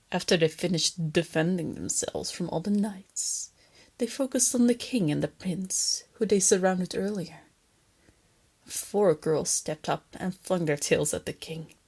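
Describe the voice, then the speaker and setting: A woman acts out a female lamia captor in a soft ASMR roleplay. Her voice sits a little low and is breathy, often slipping into whispers. She coaxes and teases with doting condescension and a hint of threat. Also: takes it slowly, exaggerated voice. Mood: storytelling, soft menace. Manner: teasing, coaxing, condescending, giggly. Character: female lamia captor, softly teasing, doting yet menacing.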